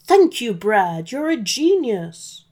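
A woman's voice: fairly high pitch, very animated, slow pace, darkish tone, heavily acted. Storybook jolly old man, acted by a woman: kindly, hearty, reassuring, takes his time. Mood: delighted. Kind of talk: children's storybook character voice.

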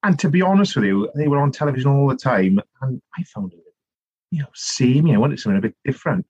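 A middle-aged man from South Wales with a Welsh accent, telling long animated stories as a podcast guest, full of restarts and asides. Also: mid-range voice, quick pace, husky, no room sound, muffled recording. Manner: animated storytelling, rambling with many restarts and "you know". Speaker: guest, author and politician. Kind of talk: conversational podcast interview.

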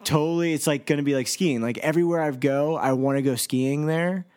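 A man's voice: a little high, darkish tone, clear, resonant tone. Laid-back, teasing young man. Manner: laid-back, teasing, mimics his co-host in drawn-out voices. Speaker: podcast co-host. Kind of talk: casual podcast chat.

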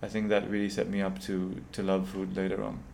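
A man's voice: sits low, completely flat delivery, brisk, gravelly, a little mellow, slight background noise. A young man who grew up in Israel, a company co-founder, answering interview questions hesitantly, often repeating words.

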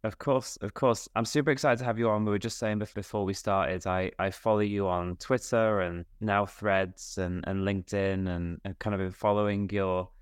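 A man's voice: fairly low pitch, steady tone, moderate pace, hardly any pauses, smooth, no room sound, clean studio sound. A man hosting a podcast who chats with a guest in an upbeat, friendly way and often repeats words. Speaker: podcast host. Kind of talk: casual interview chat.

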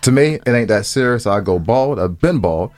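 Animated low-voiced man bantering. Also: moderate pace, no room sound. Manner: slangy, repeats phrases, playful. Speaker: podcast co-host. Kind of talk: casual joking banter, with swearing.

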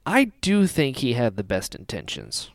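A man's voice: medium pitch, normal speed, vocal fry, dry recording. A man hosting a podcast, chatting casually and enthusiastically as a fan. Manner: enthusiastic, animated. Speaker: podcast host.

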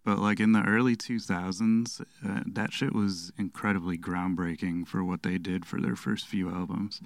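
A man in his thirties chats casually on a podcast. His voice is deep and clean, with a flat melody, recorded close and without room sound. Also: moderate pace, dry recording. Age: 30s. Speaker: band guitarist guest.

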